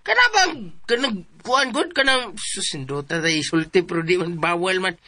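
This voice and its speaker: An actor playing a bickering, easily muddled comic companion in a radio drama, nagging with questions and broken phrases. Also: male voice, high-pitched, expressive, moderate pace, bright, forceful tone, full character voice. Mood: confused, exasperated.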